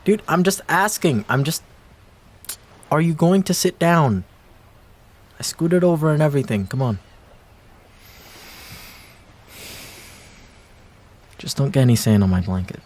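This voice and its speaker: A man acting a wistful young ex-boyfriend in an intimate roleplay audio drama, speaking casually and hesitantly, with pauses and trailing phrases. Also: fairly high pitch, lively intonation, a little mellow. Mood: awkward teasing.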